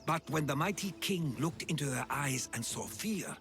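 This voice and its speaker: A man playing a learned, earnest ancient Greek historian in a video game cutscene, telling his tales with measured, solemn pauses. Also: on the high side, expressive, natural voice. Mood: grave, storytelling. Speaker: storytelling companion character. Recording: game music behind the voice. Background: cinematic music.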